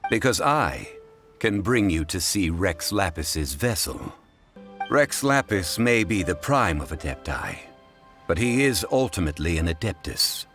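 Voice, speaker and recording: A man playing a composed, scholarly funeral consultant in a video game, speaking formally and eloquently in measured phrases. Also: deep voice, big pitch swings, a bit bright, soft background music, heavily acted. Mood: composed, solemn. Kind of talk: scripted video game character dialogue.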